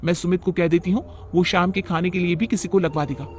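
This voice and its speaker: Young working wife , played by a man: high voice, argumentative, fed up, cartoon moral tale. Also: fairly even intonation, fast, close to an everyday voice. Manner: complaining, argumentative. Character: defiant, fed-up young working daughter-in-law. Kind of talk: cartoon moral story acting.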